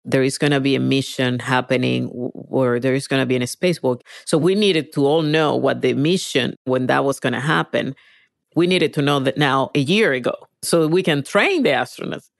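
A woman's voice: rumbling low, normal speed, vocal fry, dry recording, clean studio sound. A middle-aged woman from Venezuela with a Venezuelan accent and Spanish as her first language, giving emphatic, conversational interview answers and repeating phrases for stress. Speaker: interviewee, virtual reality engineer.

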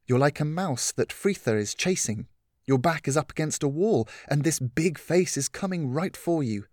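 A man voicing a gentle, reassuring woman. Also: on the high side, lively intonation, normal speed, no echo, studio quality, pitched above the performer's own voice, natural voice. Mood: soft reassuring. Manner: soft, patient, comforting. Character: gentle caring adult woman, calm and reassuring.

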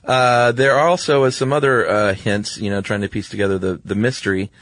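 A man's voice: on the low side, steady tone, fairly quick, fairly bright tone, no room sound. A man co-hosting a podcast in an informal, easygoing, conversational style. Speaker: podcast co-host. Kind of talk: casual conversational podcast chat.

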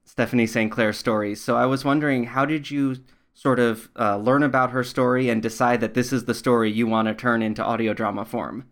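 A man's voice: medium pitch, steady tone, fairly quick, a bit bright. A man from New York state interviewing a guest on a podcast in a conversational style. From New York state, USA.

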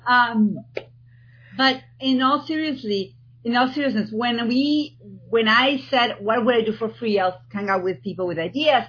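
A woman from Argentina with an Argentine accent, a guest in an interview, talking in an animated way and often restarting her sentences. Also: a little high, a little slow, husky, muffled recording. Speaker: guest, TEDx organizer. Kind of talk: podcast interview.

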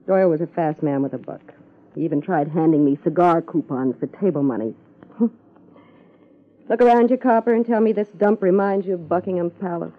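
An American actress playing a jaded, sharp-tongued ex-showgirl wife in a 1950s radio crime drama, sardonic and world-weary. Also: fairly low pitch, normal speed, lightly acted. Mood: bitter, sardonic. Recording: thin, phone-like vintage radio recording.

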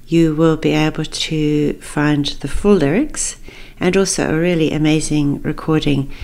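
A woman from Zambia hosting a podcast as a singing teacher, talking informally in a gentle, encouraging, friendly way. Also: sits low, gravelly.

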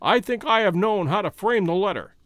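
A masterful storybook detective, voiced by a male narrator, composed and stern. He speaks precisely and with command, in a high, expressive voice, recorded dry. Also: fairly quick, dry recording. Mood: assured.

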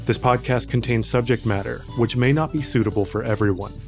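A man reading a scripted case summary in a measured, even storytelling voice. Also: mid-range voice. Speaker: podcast narrator. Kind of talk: scripted narration. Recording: music bed under voice. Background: music.

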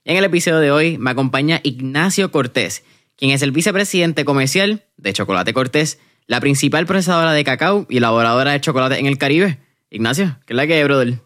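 A man's voice: mid-range voice, expressive, a little fast, fairly bright tone. Puerto Rican man hosting a podcast. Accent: Puerto Rican Spanish. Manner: slangy and friendly, with fillers. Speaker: podcast host. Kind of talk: casual podcast interview.

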